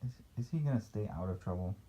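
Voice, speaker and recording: A man's voice: lowish voice, expressive, fast, mellow. A man reading a picture book aloud as a father to a child, playful, with casual asides and questions.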